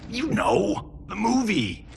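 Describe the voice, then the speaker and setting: Cocky showoff fighter in a video game, highish voice jumping all over, always quipping. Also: male voice, takes it slowly, gravelly, a little hiss or hum, full character voice. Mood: incredulous. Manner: flippant, slangy, excitable. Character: wisecracking, cocky male movie-star fighter. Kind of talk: video game cutscene acting.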